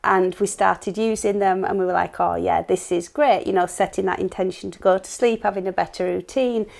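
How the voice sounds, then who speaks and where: Chatty woman with a creaky voice. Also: medium pitch, fairly even intonation, brisk, darkish tone. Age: 40s. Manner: chatty, often ends with tag questions. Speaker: co-author and workshop host. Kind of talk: casual live-stream chat.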